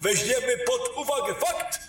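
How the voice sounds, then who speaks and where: A strikingly high male voice put on by an actor for a hesitant, officious castle steward. It is a fantasy radio-play figure, set back in a very echoey space. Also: measured pace, fairly bright tone. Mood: nervously formal. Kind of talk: fantasy audio drama acting. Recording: strong echo, distant, faint music.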